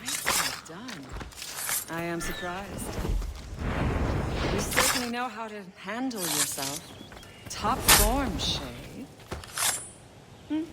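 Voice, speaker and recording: Tough, sardonic woman with lively pitch. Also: very noisy. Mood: grudging praise. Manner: brisk, bossy, sardonic. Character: tough, sardonic female assassin combat teacher. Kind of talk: video game dialogue acting.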